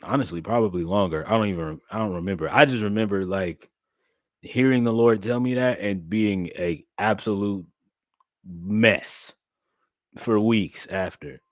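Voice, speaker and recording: A young man, talking to his livestream chat in an animated, preacherly way. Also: on the low side, a little slow, no echo. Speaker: streamer and podcast host.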